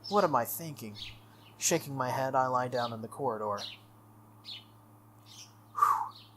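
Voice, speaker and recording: A man hosting a game playthrough, reading a visual novel aloud in an animated way with casual asides. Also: medium pitch, measured pace, background noise. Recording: music playing behind the voice. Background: game music.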